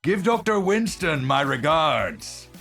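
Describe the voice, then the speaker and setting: An actor playing an eccentric older physicist in a video game, gentle and brilliant yet unhinged, speaking formally and precisely with a breathy, husky voice. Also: male voice, fairly high pitch, big pitch swings, takes it slowly, faint music behind, exaggerated voice. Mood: musing, polite. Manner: precise, formal, musing, erratic swings into fervour. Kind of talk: video game character voice lines.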